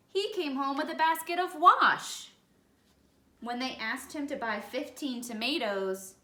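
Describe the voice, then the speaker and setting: A woman's voice: high-pitched, bright, forceful tone. A woman reading a children's story aloud expressively, as a storyteller to young listeners. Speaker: picture-book reader, educator. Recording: echoey room.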